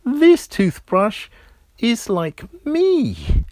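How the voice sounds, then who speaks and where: A man's voice: wildly swooping pitch, very slow, darkish tone, dry recording, heavily acted. A man voicing a frightened, whiny little boy who begs his parents for help. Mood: realising. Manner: high-pitched, pleading, frightened.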